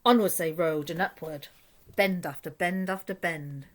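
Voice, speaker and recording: A woman reading a rhyming children's picture book aloud as an expressive storyteller. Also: fairly low pitch, moderate pace.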